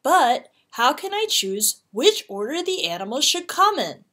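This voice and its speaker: Lady doing a bossy heavenly emperor for a kids book, proclaiming, bright and lively. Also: sits high, measured pace, pitched above the performer's own voice, heavily acted. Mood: decisive. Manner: declarative, proclaiming. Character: commanding male emperor, King of Heaven.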